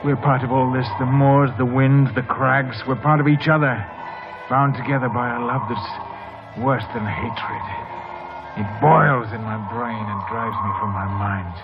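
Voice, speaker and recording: Brooding, tormented young stable hand, played by an actor. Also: male voice, mid-range voice, fairly even intonation, unhurried, close to an everyday voice. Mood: brooding, fervent. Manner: intense, theatrical, bitter. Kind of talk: mid-century radio drama acting. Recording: dull old radio recording with music.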